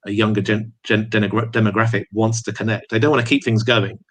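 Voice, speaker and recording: Low-voiced male interviewer. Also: level tone, fast. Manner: encouraging, many false starts. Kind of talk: casual interview.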